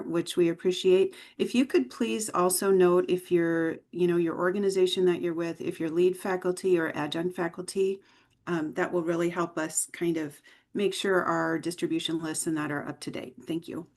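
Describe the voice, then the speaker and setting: A woman with a slightly low voice facilitates an online meeting. She is polite and informal as she addresses participants and keeps things moving. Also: steady tone, normal speed, a little mellow. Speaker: call moderator.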